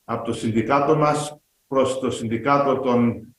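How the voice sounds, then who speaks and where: A man's voice: medium pitch, steady tone, normal speed, fairly bright tone, roomy sound, low-quality recording. A man from Australia with an Australian accent, a native Greek speaker, giving an earnest, somewhat pessimistic conference talk with frequent fillers. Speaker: union speaker.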